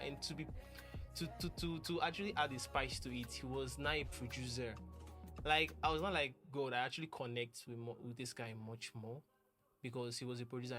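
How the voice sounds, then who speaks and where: A young man, talking casually and earnestly about a musician he admires, rambling and restarting his sentences. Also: mid-range voice. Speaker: music producer. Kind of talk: casual talk to camera.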